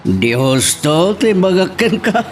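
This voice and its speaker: A man's voice: high-pitched, very animated, slow pace, recorded from a distance, full character voice. A man with a Filipino Ilocano accent acting a concerned older father who admonishes and advises in a radio-style romance drama. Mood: concerned, advising.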